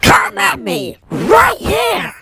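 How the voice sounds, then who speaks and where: A woman's voice: very high-pitched, wildly swooping pitch, drawn-out words, creaky, bright, forceful tone, no room sound, raised voice, clean studio sound, exaggerated voice. A woman voicing a cocky, taunting chaos monster spoiling for a fight in an amateur action drama. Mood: aggressive taunting. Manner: taunting, challenging.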